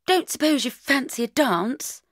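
A woman's voice: sits high, lively intonation, measured pace, dry recording, studio quality, pitched above the performer's own voice. A woman voicing a posh, awkward teenage schoolboy, hesitant and polite, in a story. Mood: awkward, eager.

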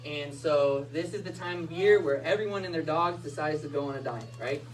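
Male pastor, 30s, lively storyteller, recorded from the back of a small hall. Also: on the high side, a little fast. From United States. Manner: animated storytelling with hand gestures. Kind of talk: sermon. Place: small church hall with Christmas tree. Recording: distant mic, faint room noise.

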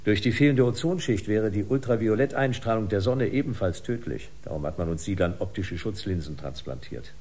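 An actor playing a cool, factual Mars settler who instructs like a guide in a science-fiction radio drama. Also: male voice, lowish voice, lively intonation, a little fast, hardly any pauses, darkish tone. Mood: matter-of-fact.

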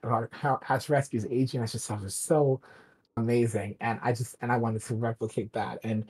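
A person with a husky voice chatting casually and emphatically as a drama director guesting on a podcast, gushing and rambling along. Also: mid-range voice, fairly even intonation, fairly quick, a little mellow. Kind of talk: casual enthusiastic chat.

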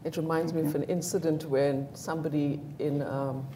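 A woman in her sixties with an Indian accent tells stories on a panel, bringing them to life by acting out what people said. Her voice sits low and sounds mellow. It is heard from a distance in a reverberant room, with a faint hiss underneath. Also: moderate pace. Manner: animated storyteller, acts out quoted lines, laughs. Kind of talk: anecdotal panel discussion. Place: bright large indoor room, seated in armchair.

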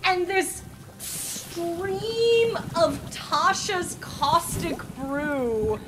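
A woman's voice: very high voice, drawn-out words, background noise. A woman playing a tabletop role-playing game, telling the story casually as it is improvised. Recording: music under the talk.

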